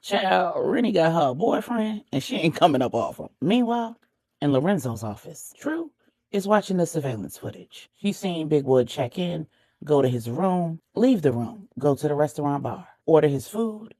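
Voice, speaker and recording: A woman's voice: sits low, expressive, moderate pace, warm tone, no room sound, clean studio sound. A woman narrating a story expressively as a storyteller, with a conversational touch.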